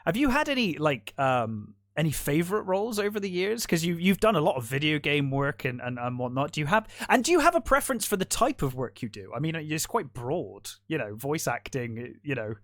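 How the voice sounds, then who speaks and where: A man's voice: fairly high pitch, sing-song, fairly quick, hardly any pauses, a bit bright, dry recording. Man interviewing casually, dry close sound. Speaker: podcast host, interviewer.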